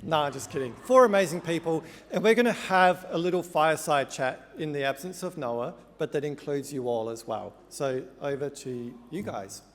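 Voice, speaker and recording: A man's voice: on the high side, normal speed, few pauses. A middle-aged man hosts from a podium mic, speaking in a slightly raised voice. His jokey, informal patter rings out with a long reverb through a large conference hall. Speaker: conference host. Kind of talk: stage introduction.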